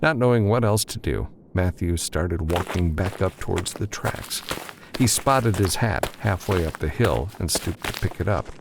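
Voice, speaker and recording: A man's voice: low voice, normal speed, vocal fry, background noise. A man narrating scripted adventure fiction as an audiobook reader.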